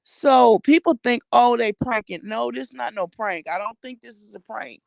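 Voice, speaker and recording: A woman's voice: a little high, moderate pace, gravelly, no echo. An American woman giving casual gossip commentary, blunt and slangy with plenty of swearing, repeating phrases for emphasis. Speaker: vlogger commenting on social media personalities.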